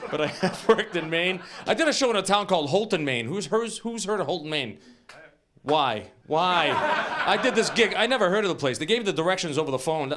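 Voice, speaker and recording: A man in his forties doing animated, incredulous stand-up comedy, often interrupting himself. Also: high voice, brisk, slight background noise. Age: 40s. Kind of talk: stand-up comedy before a live audience. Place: small TV studio stage with audience. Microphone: handheld microphone, later on a stand. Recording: live studio audience laughing.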